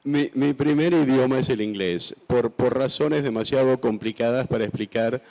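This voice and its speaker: A man's voice: mid-range voice, normal speed, a little mellow. A man in his sixties from Argentina, answering onstage interview questions with a handheld microphone, thoughtful and hesitant with many fillers and restarts. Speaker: author guest. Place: large festival stage. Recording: long hall reverb.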